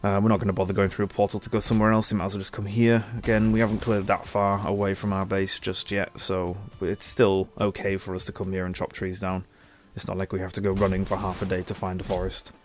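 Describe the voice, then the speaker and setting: A man's voice: low-pitched, little pitch movement, quick pace, hardly any pauses, slight background noise. A young man with a stuffy nose from a cold, chatting easygoingly as he plays a game and thinks aloud. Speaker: gaming channel host. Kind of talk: casual gameplay commentary.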